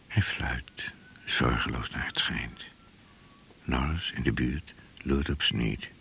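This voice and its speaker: An actor narrating a suspense radio play in terse, measured present-tense phrases. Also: male voice, rumbling low, a bit bright, a little hiss or hum, heavily acted. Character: brooding male narrator of a jungle thriller.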